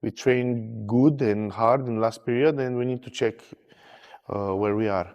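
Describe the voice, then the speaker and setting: A man in his thirties, an armwrestler, speaking in short, halting English in a pre-match interview. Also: mid-range voice, a little mellow, big-room sound, muffled recording. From Romania. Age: 30s.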